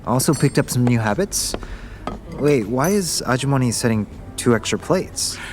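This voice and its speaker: An actor playing an affectionate, wryly joking young Korean heir in an audio drama. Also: male voice, medium pitch, expressive, moderate pace, faint music behind, lightly acted.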